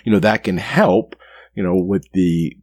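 A man's voice: low voice, a little slow, darkish tone, husky. A man hosting an interview, chatty and asking long winding questions. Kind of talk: conversational interview.

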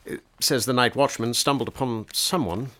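A man's voice: medium pitch, moderate pace, a bit bright, lightly acted. A man acting in a radio drama as a fretful household butler, formal, hesitant and deferential. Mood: dismayed, apologetic.

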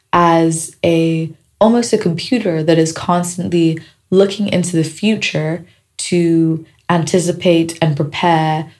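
A woman hosting her own podcast, her voice raspy and dry from a week of celebrating, talking in a chatty, reflective way with small jokes. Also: fairly low pitch, a little mellow. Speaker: podcast host. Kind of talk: casual solo podcast talk. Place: seated indoors at a microphone.

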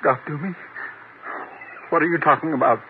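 A man's voice: a little high, normal speed, natural voice. An actor playing a desperate, agitated man on the run in a 1950s radio drama, tense and insistent. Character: desperate, jumpy man hiding from killers. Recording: noisy, tinny 1950s radio recording.

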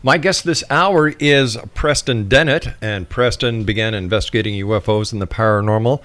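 Low-pitched male radio host. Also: normal speed, a bit bright. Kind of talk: radio interview hosting.

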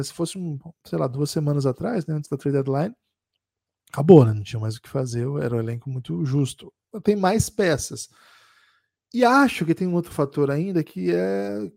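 A man with a gravelly, dark voice, recorded dry and close. He talks slowly and thoughtfully in a casual sports podcast. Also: mid-range voice, no room sound. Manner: measured, thinking aloud with many pauses. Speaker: podcast co-host.

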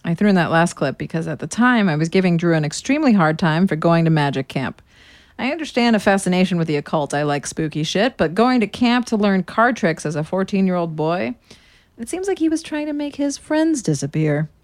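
A woman's voice: on the low side, expressive, brisk, dry recording, close to an everyday voice. An American woman in her thirties playing a sardonic woman narrating a confessional audio diary, dryly sarcastic and casually profane. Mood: dry, nostalgic. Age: 30s.

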